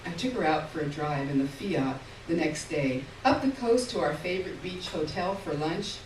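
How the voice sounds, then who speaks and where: A woman giving a live reading of short fiction on stage, telling the story in a measured way. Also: deep voice. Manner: measured storytelling, lively in the dialogue. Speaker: reader. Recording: roomy hall, distant mic, faint room noise.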